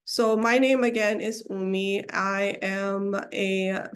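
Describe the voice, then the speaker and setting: A woman's voice: mid-range voice, fairly even intonation, takes it slowly. Hesitant woman with a cold. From Seattle, United States. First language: Somali. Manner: hesitant, many ums, restarts mid-phrase. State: getting over a cold. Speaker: community presenter. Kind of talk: online webinar presentation. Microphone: video call.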